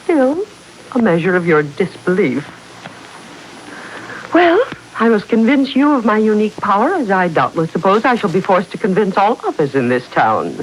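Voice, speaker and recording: An actress plays a mysterious, commanding woman in a 1940s radio drama. Her speech is formal and deliberate, with wide, theatrical swings of pitch at a medium height. There is faint noise from the old broadcast. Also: sing-song, close to an everyday voice, poor audio. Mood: mocking, menacing. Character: mysterious, commanding woman who claims controlling powers. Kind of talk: 1940s radio serial acting.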